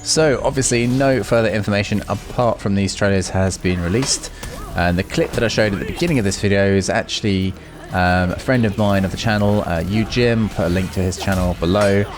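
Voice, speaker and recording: A man's voice: fairly low pitch, a little fast, few pauses, over music. A man hosting a gaming video, giving casual, unscripted commentary and musing aloud as he goes. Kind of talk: casual off-the-cuff gameplay commentary.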